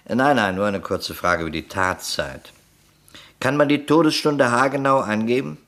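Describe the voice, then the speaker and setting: A man's voice: mid-range voice, moderate pace, a bit bright, natural voice. A man playing a persistent, matter-of-fact police detective in a German crime radio play, talking in a dry, probing, conversational way. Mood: businesslike.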